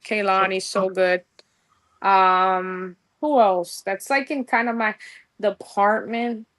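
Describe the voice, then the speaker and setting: A woman's voice: medium pitch, steady tone, slow, fairly bright tone. A young woman from New York with a New York accent, gushing in a casual podcast interview and mixing in street slang. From New York, USA. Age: young adult. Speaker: guest musician.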